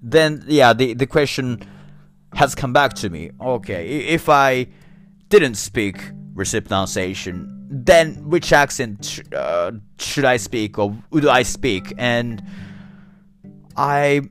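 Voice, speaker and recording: A man whose first language is Japanese, speaking English with a Japanese accent, talking slowly and thoughtfully to camera with many restarts and fillers. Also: medium pitch, lively intonation, faint music behind. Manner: slow and thoughtful. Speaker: English learner. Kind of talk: reflective solo talk.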